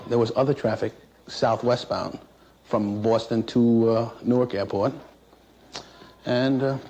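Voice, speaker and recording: A man who works as an air traffic controller recounts his experience in an interview in a halting, plain-spoken, matter-of-fact way. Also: medium pitch, darkish tone. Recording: noisy 1960s interview recording.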